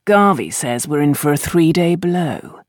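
Woman playing a languid, droll young gentleman. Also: low voice, animated, no room sound, studio quality. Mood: laconic. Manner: droll, unhurried, faintly amused. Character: dry, languid, teasing aristocratic man, penniless idler.

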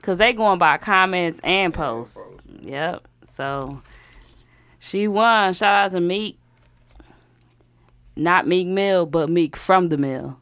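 Woman podcast host, lower voice, slow unhurried delivery, dry close sound, loose and laughing. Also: clear, resonant tone, no echo. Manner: relaxed, laughing, trailing off with fillers. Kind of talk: casual podcast chat.

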